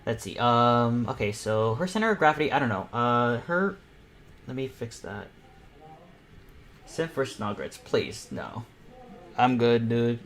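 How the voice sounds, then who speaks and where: A man's voice: mid-range voice, expressive, unhurried, pauses often, fairly bright tone. A young man chatting casually on a live stream, thinking aloud as he draws. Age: teenager. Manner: rambling, thinking aloud while working. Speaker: panel host and artist. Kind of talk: casual chat while drawing on a live stream.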